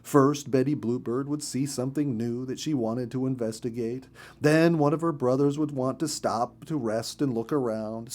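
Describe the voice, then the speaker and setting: A man reading a children's story as narrator in an expressive, gentle storytelling manner. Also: mid-range voice.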